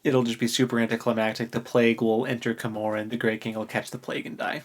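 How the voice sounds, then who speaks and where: A podcast co-host chatting casually about books, recapping in an animated way with relaxed slang. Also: mid-range voice, fast. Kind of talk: casual book discussion chat.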